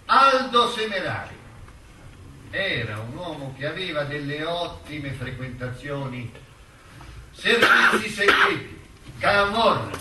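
An actor playing a wry, bitter intellectual on trial, narrating his case in a pointed, articulate theatrical way. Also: a little high. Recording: roomy, distant stage recording with noise.

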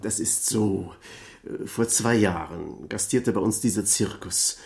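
An actor playing a politely talkative furrier in a German radio crime drama, hesitant, defensive and over-explaining. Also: male voice, fairly low pitch, slow pace, vocal fry, a little mellow. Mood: eager, talkative. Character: evasive, flustered male furrier, politely talkative shopkeeper.

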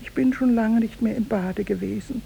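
A woman's voice: fairly high pitch, vocal fry, mellow. An actress playing a weary, grumbling old robber's mother in a vintage radio drama. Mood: weary, grateful. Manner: slow, complaining, sighing. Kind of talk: 1950s German radio drama acting. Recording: noisy vintage 1950s radio recording.

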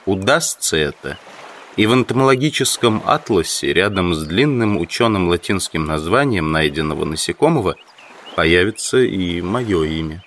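A man playing an earnest, obsessive amateur entomologist in an audio drama, musing in a deliberate, reflective monologue. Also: low-pitched, lively intonation, fairly bright tone, slight background noise.

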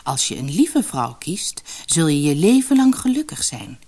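A woman voicing a stern, mysterious old woman in a fairy tale, speaking gravely and with command. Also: deep voice, sing-song, moderate pace, dark tone, exaggerated voice. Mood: solemn.

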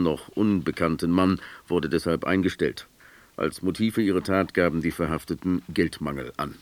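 Deep-voiced male German radio newsreader. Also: no room sound. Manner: formal, measured, factual. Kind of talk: scripted news reading. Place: dry radio studio.

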